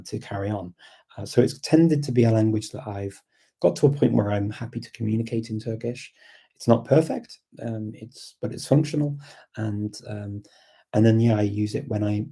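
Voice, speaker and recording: Husky, dark-voiced man chatting. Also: fairly low pitch. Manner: hesitant, reflective, repeats words while thinking. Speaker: livestream host and language learner. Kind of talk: casual live chat, thinking aloud.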